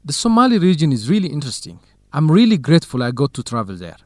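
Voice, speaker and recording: A man's voice: fairly high pitch, big pitch swings, fast talker, darkish tone, dry recording, clean studio sound. An actor playing an earnest, lovesick young male student researcher in an educational radio drama, talking in plain, simple sentences. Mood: earnest, doubtful.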